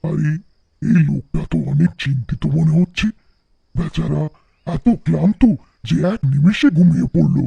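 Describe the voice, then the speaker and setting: Man voicing a soft, mellow, musing tree witch. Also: a little high, fairly even intonation, normal speed, gravelly, breathy edge, no echo, highs cut off, exaggerated voice. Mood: pitying. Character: pitying witch living in a tree, delighted by pretty bangles. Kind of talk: animated folktale voice acting.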